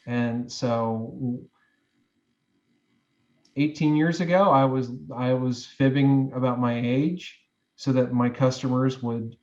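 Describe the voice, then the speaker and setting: A man's voice: medium pitch, steady tone, slow pace, darkish tone, dull audio. A man in his forties, a guest giving advice in a reflective interview, talking in loose, rambling sentences with many fillers and restarts. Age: 40s.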